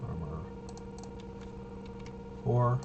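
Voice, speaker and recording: A man casually narrating tabletop roleplaying gameplay as game master, haltingly thinking aloud and muttering to himself as he goes. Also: mid-range voice, lively intonation, phone-quality sound, background noise.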